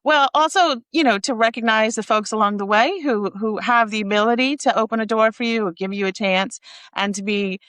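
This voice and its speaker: A middle-aged American woman answering interview questions in a measured, thoughtful, conversational way. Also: a little high, a bit bright, clear, resonant tone, no echo. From raised in Kansas, United States. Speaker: interview guest, nonprofit executive.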